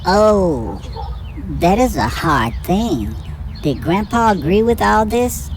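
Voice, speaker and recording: A woman's voice: mid-range voice, very animated, slow pace. A woman playing a curious, caring young son in a hobby audio drama, earnest and full of questions. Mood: surprised, questioning.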